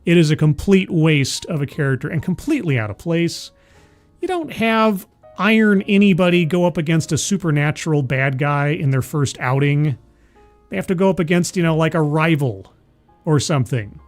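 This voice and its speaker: Chatty man in his forties, slightly high. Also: animated, normal speed, soft background music. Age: 40s. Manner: rambling, self-deprecating, laughs often. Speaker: livestream host. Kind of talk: casual chat with live chat.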